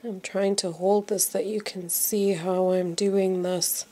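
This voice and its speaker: A woman's voice: mid-range voice, little pitch movement, slow pace, warm tone. A woman teaching knitting step by step, sounding congested from a cold and repeating each move patiently. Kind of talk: slow step-by-step craft tutorial.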